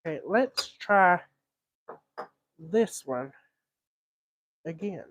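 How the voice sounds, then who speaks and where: A man with a fairly high voice talks very slowly, as if thinking out loud. He speaks casually in short, muttered fragments, like a livestream host narrating a hands-on repair to himself. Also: lively intonation, slight background noise. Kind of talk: casual live repair commentary, thinking aloud.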